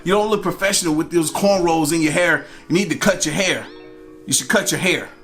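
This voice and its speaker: A man's voice: on the high side, a little fast, fairly bright tone, soft background music, pitched above the performer's own voice, close to an everyday voice. A man voicing a haughty, bossy American woman who manages a store, curt and scolding, in a story time video. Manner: curt, scolding, repeats orders.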